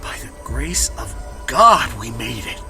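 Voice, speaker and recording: A man's voice: mid-range voice, very animated, a little slow, gravelly, bright, forceful tone, soft background music, full character voice. An actor playing a nervous, polite male traveller in an audio drama, hesitant and anxious. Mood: anxious, exasperated.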